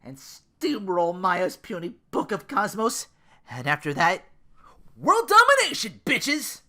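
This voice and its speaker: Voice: medium pitch, wildly swooping pitch, slow, bright, forceful tone, voice pushed up, full character voice. A performer voicing an arrogant, bossy thirteen-year-old prince in a dramatic fan fiction reading, boastful and petulant. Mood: furious yelling.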